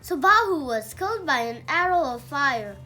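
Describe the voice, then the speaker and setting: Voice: high voice, big pitch swings, normal speed, pushed and bright, low-quality recording. A child reading a mythological storybook aloud in a careful, emphatic way, with occasional stumbles over words.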